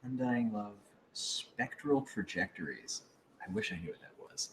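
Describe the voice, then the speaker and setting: A man's voice: lowish voice, expressive, measured pace, a bit bright. A man who works as a voice actor, chatting casually and playfully on a livestream with lots of exclamations. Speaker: voice actor answering fan questions. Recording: roomy, faint background noise.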